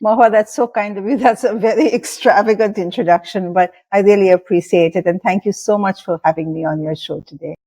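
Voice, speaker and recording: A woman's voice: mid-range voice, fairly quick, hardly any pauses, warm tone. A woman in her sixties from India, speaking as an interview guest in a reflective, thoughtful way with frequent fillers. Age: 60s. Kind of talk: interview conversation.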